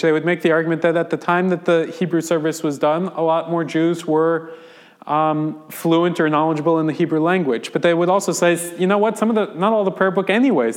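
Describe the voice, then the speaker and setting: An American man, a rabbi, giving an informal lecture to a class and explaining things conversationally with frequent ums and restarts. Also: fairly high pitch. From United States.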